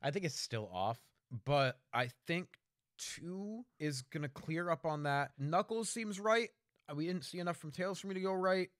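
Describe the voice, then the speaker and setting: A man's voice: fairly high pitch, animated, normal speed, fairly bright tone, no room sound, studio quality. A man co-hosting a casual movie discussion, blunt and opinionated. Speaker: podcast co-host. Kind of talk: casual chat, movie criticism.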